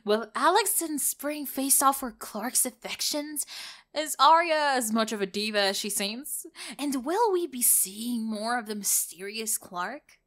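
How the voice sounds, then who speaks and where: A woman's voice: fairly high pitch, animated, a little slow, fairly bright tone. A woman playing a dramatic celebrity gossip show host, with punchy, teasing, theatrical delivery of scripted tabloid news. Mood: gossipy, excited. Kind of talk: scripted tabloid broadcast narration.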